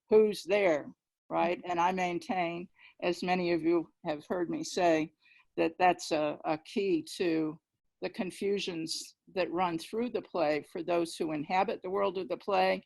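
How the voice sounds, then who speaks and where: A woman's voice: lowish voice, creaky. A woman speaking emphatically in an informal literary discussion on a video call.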